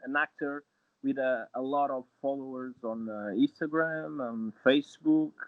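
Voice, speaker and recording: A man's voice: mid-range voice, steady tone, dry recording. A young man with a Portuguese accent talks over a video call, searching for words with plenty of fillers and restarts. The sound is dry and a bit dull, like webcam audio in a small room. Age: 20s. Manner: halting, many fillers and restarts. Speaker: guest actor. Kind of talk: casual interview. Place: small room at home, indoors.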